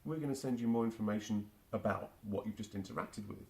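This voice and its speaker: Interview guest, forties, London accent, low gravelly voice, halting delivery. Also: male voice, darkish tone. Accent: London English. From England. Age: 40s. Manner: hesitant, many restarts and fillers. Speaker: marketing automation specialist, guest. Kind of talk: casual interview answers.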